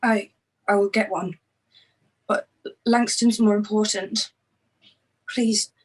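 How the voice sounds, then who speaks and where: Woman playing a shaken young witness, husky voice, halting, grieving, screenplay drama read. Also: medium pitch, fairly even intonation. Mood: urgent, pleading. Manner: halting, faltering delivery.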